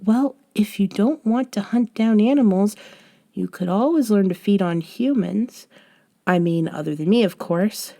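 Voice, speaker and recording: A woman performs a young woman coaching her friend in an intimate audio roleplay. Her voice is mellow and soft, and she takes her time, measured and patient with a bossy, gently chiding edge. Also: mid-range voice, creaky. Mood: earnest, coaxing. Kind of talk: scripted roleplay audio, one-sided conversation.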